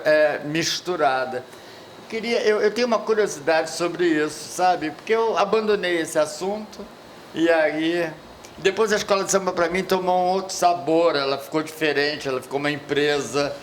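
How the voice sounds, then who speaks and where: An older man in the audience asks a long, rambling question at a panel talk, reminiscing and pausing to search for words. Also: fairly high pitch, a little hiss or hum. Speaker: audience member asking a question. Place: lecture hall at a public event.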